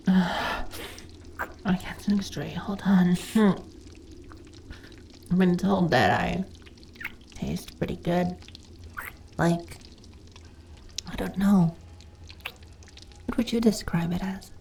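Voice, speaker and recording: Woman acting a slow, breathy slime monster girl. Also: on the low side, dark tone, slight background noise, full character voice. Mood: hushed, shy. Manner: breathy, hesitant stammering, drifting into whispers. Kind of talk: intimate roleplay audio acting.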